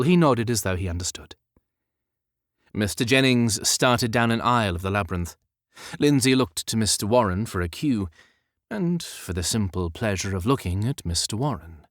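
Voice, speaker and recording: A man reading a historical romance audiobook in a measured, expressive storytelling manner. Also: fairly low pitch, no room sound, studio quality. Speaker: audiobook narrator. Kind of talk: scripted literary narration of a historical romance.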